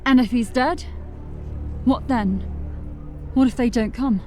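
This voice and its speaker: Fantasy watch corporal: soft dark timbre, sober, worried, questioning, faint music beneath. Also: female voice, a little high, slow. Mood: worried, doubtful. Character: sober, doubting female corporal of the city watch. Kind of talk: fantasy audio drama acting.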